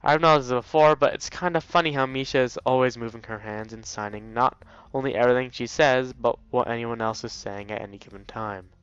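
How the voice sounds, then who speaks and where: A man's voice: medium pitch, brisk, hardly any pauses, a bit bright, clean tone, no room sound, highs cut off. A man reading a visual novel aloud casually, dropping in jokey commentary as a let's play host. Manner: jokey asides while reading.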